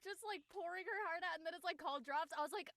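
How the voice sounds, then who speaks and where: Female panelist, bright and expressive, casual delivery, dry sound. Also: very high voice, fast talker, no echo. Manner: expressive, uses like often. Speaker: talk show panelist. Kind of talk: casual chat.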